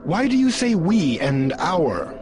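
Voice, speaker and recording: A courteous game hero, earnest n formal, highish voice, lively, music behind. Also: male voice, slow, heavy background noise, full character voice. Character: earnest, polite heroic male warrior on a quest. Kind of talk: video game cutscene acting.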